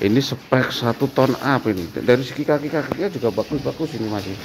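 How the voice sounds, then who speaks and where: A man's voice: mid-range voice, animated, fairly quick, background noise. Chatty man touring a cattle barn. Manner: chatty, asks short questions and repeats answers back. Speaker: vlogger touring a cattle farm. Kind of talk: casual walk-through vlog with interview. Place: outdoor open-sided cattle barn.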